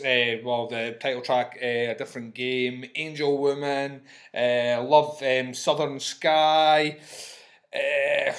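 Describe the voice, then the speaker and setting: A man's voice: mid-range voice, fairly bright tone. Chatty Scottish man reviewing on webcam. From Scotland. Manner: chatty and swears freely. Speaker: music reviewer. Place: small room at home.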